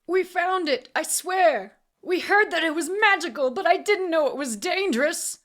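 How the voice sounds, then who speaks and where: A woman voicing a short, frightened, tearful man who pleads in halting gasps, in an audiobook. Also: a little high, lively intonation, voice pushed up. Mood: panicked, tearful. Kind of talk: audiobook character voice.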